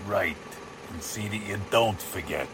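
An actor playing a cocksure, commanding crime boss in the style of 1940s radio drama. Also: male voice, on the low side, measured pace, natural voice. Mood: smug, commanding. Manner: smug, commanding. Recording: clear car engine noise.

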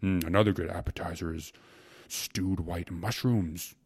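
A man voicing a ponderous, food-loving honorary justice in a storybook reading, slow and musing with heavy breath. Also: low voice, fairly even intonation, a little mellow, breathy edge, no room sound. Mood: ponderous relish.

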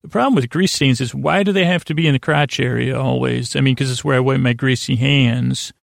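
A man hosting a sleep podcast, rambling in a halting, stammering, self-deprecating way that keeps circling back on itself. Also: medium pitch, vocal fry, no room sound, studio quality. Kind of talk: rambling bedtime monologue.

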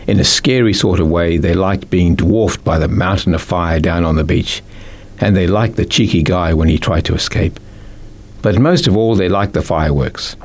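A man's voice: low-pitched, normal speed. A man with a New Zealand accent reading a children's story as scripted narration. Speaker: author reading own book.

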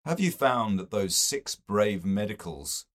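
A man's voice: fairly low pitch, animated, unhurried. A man reading a novel and voicing a censorious older literary man with a sedate, mocking, superior manner.

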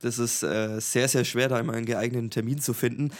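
A man's voice: mid-range voice, quick pace. A young man with a Franconian accent, co-hosting a casual podcast chat over a video call and laughing easily. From Franconia, Germany. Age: young adult. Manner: relaxed, chatty, laughs easily. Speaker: podcast co-host. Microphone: home podcast mic over video call.

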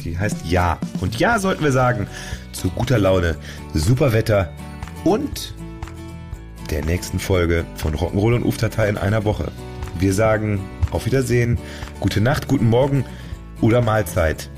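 A man's voice: fairly low pitch, animated, moderate pace, over music. A man hosting a podcast in a casual, chatty style. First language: German. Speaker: podcast host. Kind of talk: casual chat.

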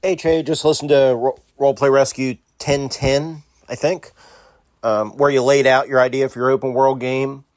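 A man's voice: mid-range voice, fairly even intonation, moderate pace, a little mellow, no room sound, studio quality. A man leaving a casual, encouraging voice message as a podcast listener.

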